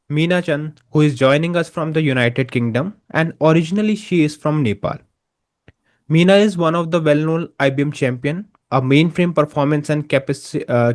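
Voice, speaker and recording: A man hosting a podcast interview, asking loose, halting questions with many fillers. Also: fairly high pitch. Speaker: podcast host. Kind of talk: interview host.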